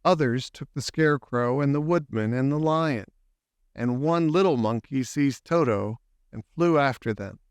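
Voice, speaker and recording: Male audiobook narrator for children's fiction: slow, clear delivery, dry close sound. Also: mid-range voice, no room sound. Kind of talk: scripted children's story narration.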